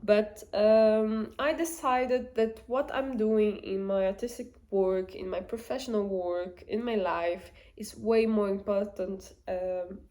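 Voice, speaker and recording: A young woman with a Portuguese accent talks to her camera in an unhurried, slow way. She thinks aloud as she goes, pausing on fillers and starting sentences over. Her pitch sits in an ordinary middle range. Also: steady tone, dark tone. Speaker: vlogger. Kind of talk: casual reflective talk to camera.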